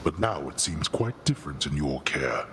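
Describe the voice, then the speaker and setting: A man playing a stern, haughty god in a video game, with formal, lofty and deliberate speech. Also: a bass voice, very animated, background noise, full character voice. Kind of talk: video game character dialogue.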